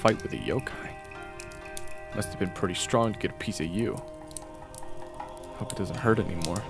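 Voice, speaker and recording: A man performing a first-person audio roleplay, narrating and talking to the listener, often stammering and flustered, sometimes whispering and sometimes yelling. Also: low voice, normal speed, creaky. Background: video game soundtrack music.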